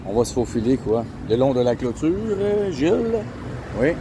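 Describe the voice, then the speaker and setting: A man's voice: mid-range voice, sing-song, moderate pace. A man with a Quebec French accent, chatting casually to his camera while walking through city streets at night. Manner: chatty, points things out to viewers while walking. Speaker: travel vlogger. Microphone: handheld camera. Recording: outdoor street noise behind the voice. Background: traffic and street noise.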